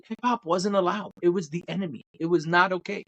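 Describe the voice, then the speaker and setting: A young adult man from Brooklyn, New York, co-hosting a podcast and talking at length in an animated, emphatic way. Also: high voice, brisk, creaky, muffled recording. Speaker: podcast co-host. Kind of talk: casual chat.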